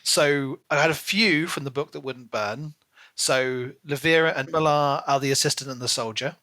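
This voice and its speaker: Bright-voiced man chatting as podcast co-host. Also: medium pitch, normal speed. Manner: chatty and hedging, with frequent "like" and "you know". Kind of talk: casual book discussion.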